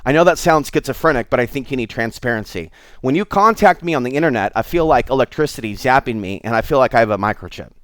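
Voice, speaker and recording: Clear-voiced American man, close and dry. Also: medium pitch, animated, quick pace, fairly bright tone, no echo. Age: middle-aged. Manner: candid, confiding, digressive. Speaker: host of his own online show. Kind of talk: unscripted rambling monologue to viewers.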